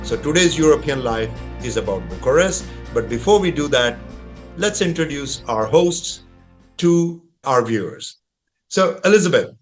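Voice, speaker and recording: Male podcast host over music. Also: medium pitch. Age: middle-aged. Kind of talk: conversational travel podcast presenting.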